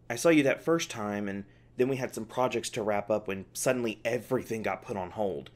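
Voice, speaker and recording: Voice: mid-range voice, lightly acted. A performer voicing a snarky, exasperated scientist held captive in an audio roleplay, sarcastic, testy and casual in speech. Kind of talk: ASMR audio roleplay, one-sided dialogue.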